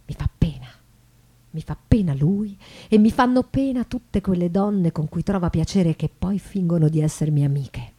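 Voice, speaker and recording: An actress playing an embittered, elegant, proudly ironic bourgeois wife of the 19th century in a confessional stage monologue that shifts between hushed and declamatory. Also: female voice, on the low side, moderate pace, slightly rough. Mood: quiet, weary disgust. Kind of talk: theatrical monologue with live music. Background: guitar music.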